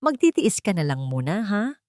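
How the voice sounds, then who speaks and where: A woman's voice: medium pitch, very animated, normal speed, darkish tone, dry recording, clean studio sound, pitched above the performer's own voice, natural voice. A woman voicing a tired, placating husband with a Filipino accent in a story, pleading for patience. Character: weary, placating husband asking his wife for patience.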